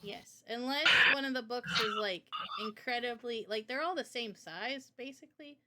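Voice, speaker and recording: A woman's voice: on the high side, moderate pace, noisy background. A woman hosting a casual livestream Q&A as a game developer, talking informally and directly to viewers.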